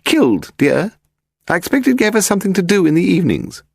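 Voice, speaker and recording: A man's voice: high voice, very animated, a little fast, creaky, darkish tone, no room sound, clean studio sound, pitched above the performer's own voice, full character voice. A man voicing a haughty, pedantic English aristocrat, a frail lady in her eighties, in an upper-class accent for an audiobook story. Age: 80s. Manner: pedantic, grand, derisive, demanding. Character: imperious frail aristocratic old lady of eighty-five, malicious.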